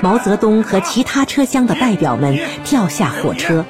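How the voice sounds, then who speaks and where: A woman narrating a scripted radio drama in a measured, declamatory storytelling manner. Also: mid-range voice, very animated, music in the background.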